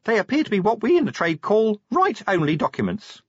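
A man voicing an eager, fussy male imp, speaking in a high, polite, literal-minded way. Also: wildly swooping pitch, brisk, slightly rough, no room sound, full character voice. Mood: prim, helpful. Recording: dry, slightly dull close-mic.

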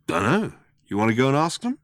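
A man's voice: mid-range voice, very animated, fairly quick, fairly bright tone, no room sound, clean studio sound, pitched above the performer's own voice. A man voicing a brash, blunt, offhand space adventurer in an audiobook. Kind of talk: audiobook character dialogue.

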